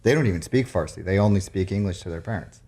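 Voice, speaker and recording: An American man from Nevada in a casual, reflective chat, thinking aloud in a halting way. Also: deep voice, dark tone. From Reno area, Nevada, United States. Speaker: musician guest.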